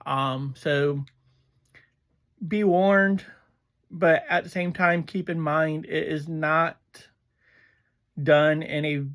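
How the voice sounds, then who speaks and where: Casual reviewer chatting about an episode, really slow and halting, medium pitch, stops mid sentence a lot. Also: fairly even intonation, a bit bright. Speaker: reviewer and host of a review series.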